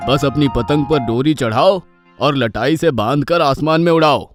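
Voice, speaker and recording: A man's voice: on the high side, normal speed, soft background music, close to an everyday voice. Man voicing a reassuring storybook dad. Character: reassuring, easygoing father of two children. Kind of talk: acted dialogue in a children's story.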